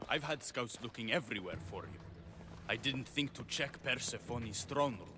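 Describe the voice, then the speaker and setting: A man's voice: medium pitch, expressive, moderate pace, close to an everyday voice. A man playing a resolute rebel commander, music behind. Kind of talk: dramatic video game acting.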